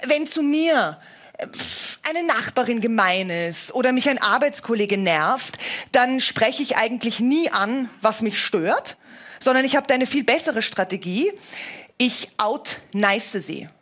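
Woman, 30s, slight Viennese accent, stage comedy monologue, raised pitch, slow pacing. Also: animated, fairly bright tone. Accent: light Viennese. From Vienna, Austria. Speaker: cabaret comedian.

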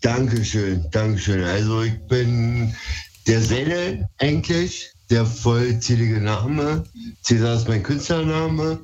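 A man's voice: medium pitch, slow, a little mellow, lo-fi sound. A man from Berlin with Turkish as his first language, calling in to a radio show and talking hesitantly with many fillers. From Berlin, Germany. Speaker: caller. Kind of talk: phone-in conversation.

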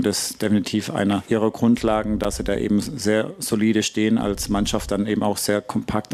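Man, football coach, low pitch, flat intonation, analytical, measured, hesitant. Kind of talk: press conference answer, unscripted analysis.

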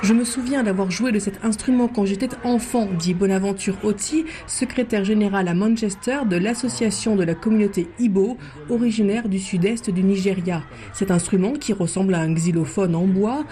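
A woman's voice: medium pitch, warm tone, a little hiss or hum. A woman reporter reading a scripted radio report. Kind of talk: scripted news report narration.

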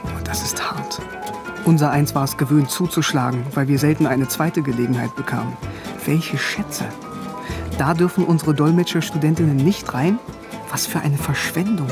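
A man's voice: fairly high pitch, moderate pace. A man giving wry, reflective first-person literary narration. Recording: music under voice.

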